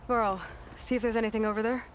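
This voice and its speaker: A woman's voice: on the high side, steady tone, fast, soft background music, lightly acted. An actress voicing a determined young woman hunter in a video game cutscene, speaking in a focused, decisive and analytical way.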